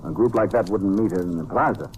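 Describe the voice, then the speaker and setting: Low-voiced hard-boiled radio detective played by a man. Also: fairly quick, a little mellow, slight background noise, lightly acted, low-quality recording. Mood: shrewd, intent. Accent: American. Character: hard-boiled American male investigator, shrewd and decisive, narrating his case. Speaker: lead actor and narrator. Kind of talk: old-time radio detective drama.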